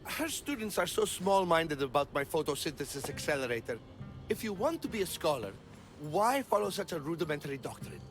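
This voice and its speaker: An actor playing an eager, fussy botanist in a video game, wordy and pedantic, easily flustered. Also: male voice, a little high, big pitch swings, brisk, soft background music. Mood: earnest, scholarly. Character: eager, fussy male botanist of a mythical city, absorbed in theory. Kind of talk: video game cutscene acting.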